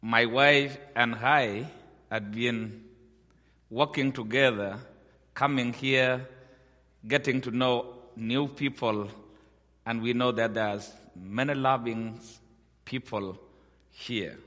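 A middle-aged man from Sudan, a missionary giving a guest sermon in slow, halting, simple English. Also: medium pitch, fairly even intonation, bright, forceful tone, in a large hall. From South Sudan.

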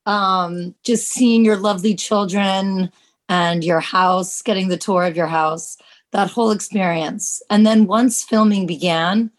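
A woman's voice: medium pitch, fairly even intonation, measured pace. A woman chatting casually and earnestly in a roundtable, gushing and peppering her talk with "like" and "you know". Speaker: podcast team member and short film actor.

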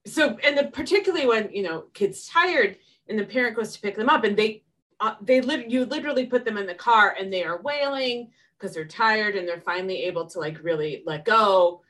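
A woman's voice: medium pitch, animated, fairly quick, a bit bright. Woman host, slightly distant from the mic. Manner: conversational, sets up questions. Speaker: interview host. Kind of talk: casual interview chat.

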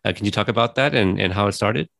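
A man's voice: on the low side, fast talker, creaky, fairly bright tone, no echo, studio quality. A man interviewing a film director, asking rambling questions full of fillers and admiring remarks.

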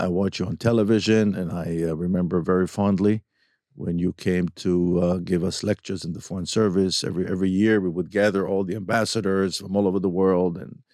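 A man with a fairly low voice hosts a podcast interview. He talks conversationally with his guest. The recording is dry, free of room echo. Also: a little mellow. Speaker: podcast host, former diplomat.

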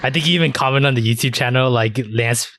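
A man's voice: medium pitch, fast, fairly bright tone, dry recording. A man talks casually as a podcast co-host, in a mid-range voice. He chats about games like he is among friends and swears freely. The sound is dry and close, with no room on it. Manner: casually profane.

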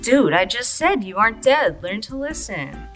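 A woman's voice: fairly high pitch, very animated, normal speed, fairly bright tone, soft background music. A woman playing a laid-back but needy coma patient who greets newcomers, flippant and slangy, in an audio drama. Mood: snarky. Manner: flippant, slangy, easily offended.

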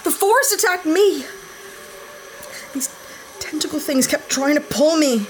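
A woman's voice: high-pitched, animated, a little slow, slight background noise. A woman playing a brash, blunt heroine. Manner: blunt and snappy. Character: brash, trigger-happy young heroine.

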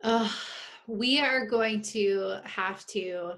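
A woman's voice: mid-range voice, extremely slow, fairly bright tone. A woman from Ohio hosting a podcast, chatting casually in a bubbly way and laughing often. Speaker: podcast host. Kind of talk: casual chat.

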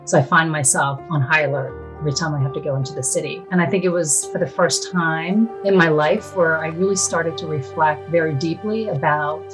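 Deep-voiced woman reflecting over music. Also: steady tone, a little fast, hardly any pauses. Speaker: interview guest, hospital administrator. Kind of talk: reflective personal testimony. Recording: music under the voice. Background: instrumental music.

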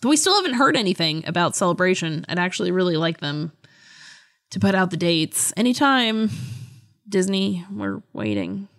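A woman's voice: on the low side, sing-song, normal speed. A woman co-hosting a podcast in casual chat, with an informal, conversational way of talking. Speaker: podcast co-host.

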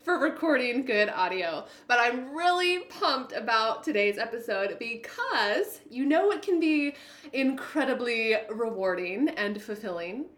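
Excitable, high-pitched woman podcaster. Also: echoey room. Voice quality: naturally loud voice. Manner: hyper, excitable, emphatic. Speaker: podcast host, business coach. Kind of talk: upbeat solo podcast talk.

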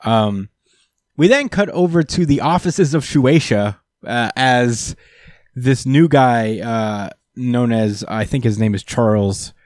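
A man's voice: medium pitch, slow, a little mellow, dry recording. A man talking casually, full of ums and uhs. He retells scenes and quotes the characters as he goes. His voice sounds dry and close, with no room around it. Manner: hesitant, lots of fillers, retells scenes with quoted lines. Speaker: podcast co-host. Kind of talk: casual chat recapping a manga.

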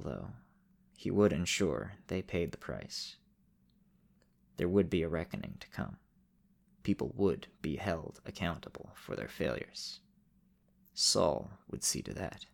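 Male storyteller and show host, deep voice with gravelly fry. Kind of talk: narration and casual host talk.

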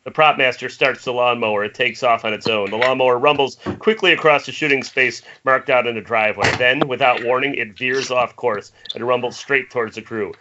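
A man's voice: medium pitch, fairly even intonation, fairly quick, few pauses, muffled recording, noisy background. A man narrating the stage directions of a screenplay at a table read over an internet call. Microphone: internet video call.

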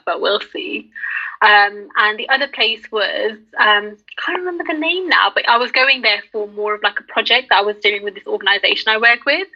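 A young woman chatting casually in an animated way, acting out little bits of remembered talk. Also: mid-range voice. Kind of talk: casual chat. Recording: dull lo-fi sound.

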